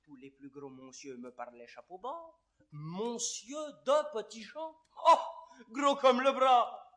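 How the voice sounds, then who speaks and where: An actor playing a talkative, sly, grumbling servant in a classical French verse comedy, theatrical and declamatory. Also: male voice, very high voice, expressive, takes it slowly, sharp tone, exaggerated voice. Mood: sly, gleeful. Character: comic talkative male servant doorkeeper, sly, grumbling, sleepy.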